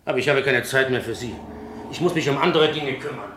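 An actor playing a stern, formal café innkeeper with an emphatic, curt way of talking, in a German radio play. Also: male voice, medium pitch, fast, natural voice. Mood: scornful. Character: surly, stern café innkeeper, fussily polite to regulars. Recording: roomy echo, background noise.

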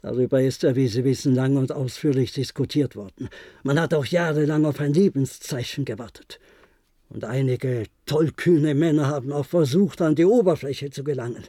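An actor in a German radio play, playing an older, resigned former diplomat who reminisces in a measured, formal way. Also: male voice, medium pitch, warm tone, no echo, clean studio sound, natural voice. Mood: resigned, somber. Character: resigned, reflective former diplomat, aging bunker survivor.

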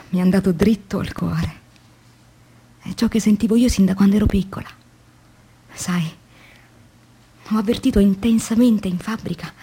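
A woman's voice: mid-range voice, slightly rough. An actress playing an earnest, weary woman factory worker in a radio drama monologue, reflective and intense in a theatrical way. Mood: reflective, intimate. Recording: noisy radio drama with background ambience.

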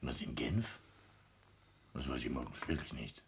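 An actor playing a stiff, self-assured son-in-law and business manager in a radio play, formal and self-important. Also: male voice, rumbling low, animated, unhurried, a little hiss or hum, exaggerated voice. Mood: evasive. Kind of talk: German radio play acting.

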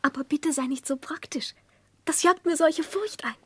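A woman's voice: high-pitched, normal speed, no room sound, lightly acted. An actress playing a childish, spoiled and fearful young fiancée in a 1960s German radio drama, pleading in a girlish, whiny pout.

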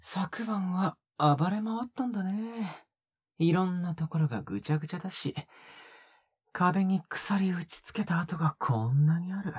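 Breathy, sweetly menacing young captor. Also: male voice, high-pitched, lively intonation, moderate pace, no room sound, clean studio sound. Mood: playful, doting. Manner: teasing, coaxing, talks as if to a pet. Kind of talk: intimate Japanese audio drama acting.

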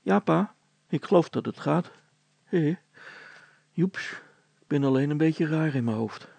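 A man's voice: fairly high pitch, animated, unhurried, gravelly, a little mellow, breathy edge, no room sound, voice pushed up, heavily acted. A man voicing a plaintive young boy in a story, hesitant and groaning as he leans on his father. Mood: shaky, relieved. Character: Hannes, plaintive, dependent young son, a boy.

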